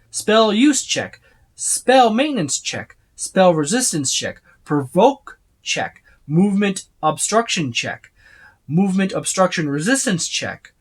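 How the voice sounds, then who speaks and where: Man, somewhat high pitch, casual reviewer, unscripted, rambling, itemizing as he goes. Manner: rambling, listing items as he browses. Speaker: tabletop game book reviewer. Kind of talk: casual unscripted book walkthrough.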